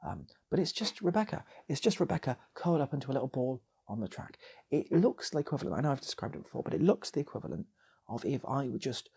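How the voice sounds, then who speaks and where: A man improvising a comic story in a soft, breathy ASMR style, often repeating phrases and slipping into whispers. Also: mid-range voice, sing-song, quick pace. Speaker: storyteller, channel host.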